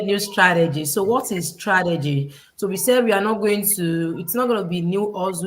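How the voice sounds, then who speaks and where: A woman from Nigeria with a Nigerian accent, hosting a livestream panel in a preachy, motivational way that repeats key phrases and quotes scripture. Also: mid-range voice. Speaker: host.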